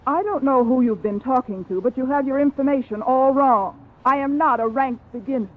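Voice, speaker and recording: A woman's voice: sits high, brisk. A woman playing an ambitious, defensive young actress under questioning in a 1950s radio crime drama, proud and evasive. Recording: tinny, phone-like old radio recording.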